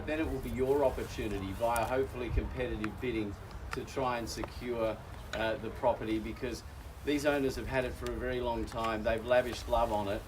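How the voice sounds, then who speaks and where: A man's voice: medium pitch, far from the mic, background noise. An Australian man working as an auctioneer, speaking genially to a crowd outdoors at a house auction, his voice weakened by a recent chest infection. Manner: genial, folksy, addresses crowd as folks. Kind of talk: live outdoor auction address.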